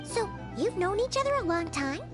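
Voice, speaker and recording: Very high squeaky fairy companion. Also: female voice, very animated, moderate pace. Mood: cheerful, curious. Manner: perky, refers to self in third person. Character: small chirpy childlike female fairy companion, curious and talkative. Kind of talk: video game voice acting. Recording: music under the voice.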